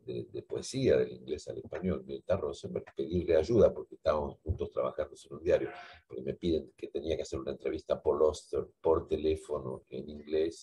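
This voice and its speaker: A man's voice: rumbling low, mellow, husky. A man, speaking reflectively on a video call from a library cubicle and repeating words as he thinks. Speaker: guest translator. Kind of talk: conversational discussion.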